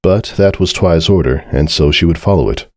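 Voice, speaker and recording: A man's voice: low-pitched, moderate pace, darkish tone, no echo, studio quality. A man reading a scripted story as narrator, in a dramatic storytelling style.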